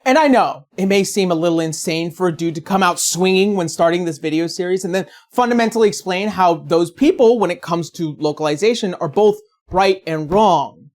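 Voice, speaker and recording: A woman's voice: on the low side, a little fast, few pauses, darkish tone. A woman delivering scripted, opinionated commentary as a video essayist, in a sarcastic, blunt and profane manner.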